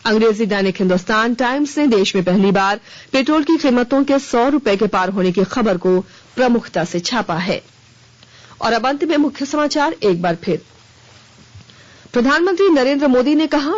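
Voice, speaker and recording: A woman reading a scripted radio news bulletin in a formal, measured announcer delivery. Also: fairly high pitch, lively intonation. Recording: dull, band-limited radio broadcast.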